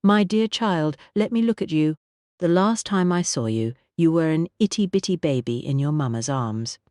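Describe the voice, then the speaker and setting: A narrator voicing a tall, glamorous American woman entertainer with a soft, smooth American accent, affectionate and motherly. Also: low-pitched, moderate pace, no echo, clean studio sound, close to an everyday voice. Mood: warm, delighted. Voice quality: smooth, honeyed drawl. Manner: affectionate, calls everyone honey.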